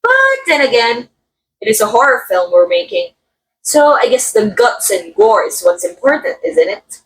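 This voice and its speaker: A woman's voice: on the high side, lively intonation, moderate pace, slight background noise, lightly acted, rough recording. A woman acting as a haughty, catty film actress in an audio roleplay, with a theatrical, sardonic and condescending delivery. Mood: exasperated, scornful. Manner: theatrical, sardonic, drawling some words for emphasis. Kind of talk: ASMR roleplay monologue, voice acting.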